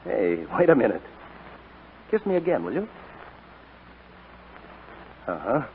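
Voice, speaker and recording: An actor playing a devoted, possessive fiancé in a 1940s radio drama. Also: male voice, on the high side, wildly swooping pitch, takes it slowly, creaky, heavily acted. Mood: breezy, affectionate. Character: devoted, possessive jilted fiancé, breezy then pleading. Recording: muffled scratchy 1940s radio recording.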